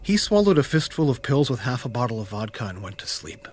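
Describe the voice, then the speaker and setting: An actor playing a wistful, candid former youth pastor in a naturalistic audio drama, telling his story in a reflective, halting way. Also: medium pitch, big pitch swings, darkish tone, slightly rough. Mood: somber, grieving.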